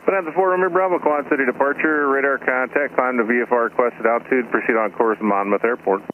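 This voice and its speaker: A man's voice: mid-range voice, fairly even intonation, quick pace, narrow, tinny audio. Male controller, mid-range voice, procedural phraseology, radio transmission with light noise. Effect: aviation radio. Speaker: air traffic controller.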